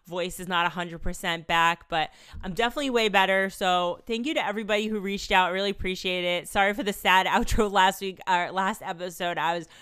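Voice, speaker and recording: Brief: young woman podcast host, slightly congested, chatty and upbeat, very clear voice. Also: medium pitch, steady tone, a little fast, fairly bright tone. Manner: chatty, upbeat, laughs between phrases. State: congested, recovering from a cold. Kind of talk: casual podcast chat and solo outro. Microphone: studio microphone.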